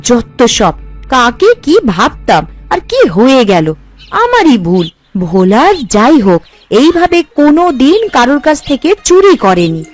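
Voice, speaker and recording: A woman playing an outspoken, high-pitched village woman. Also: sing-song, measured pace, faint music behind. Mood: indignant, scolding. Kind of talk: animated folk-tale cartoon dubbing.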